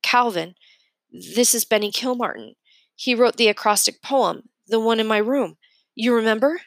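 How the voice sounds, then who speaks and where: A sweet, simple-hearted schoolboy from a children's novel, played by a woman reader. He speaks up high, earnest and plain, halting and easily flustered. The sound is dry and close. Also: lively intonation, no echo. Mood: agitated, confused.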